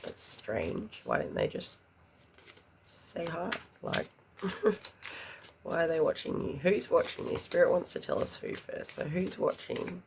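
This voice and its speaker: A woman's voice: low voice, huge pitch swings, takes it slowly, vocal fry, noisy background. A woman giving a pick-a-card tarot reading, chatty and a little congested, murmuring questions to spirit under her breath. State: congested after an illness. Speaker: tarot reader.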